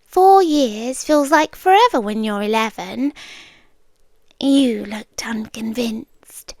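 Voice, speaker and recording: A British woman voicing an ancient, otherworldly, obsessively devoted female being in a hushed, deliberate ASMR roleplay, half whispering close to the microphone. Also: fairly high pitch, gravelly, no room sound. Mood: tender, intent. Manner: slow, deliberate, hushed, with dramatic pauses.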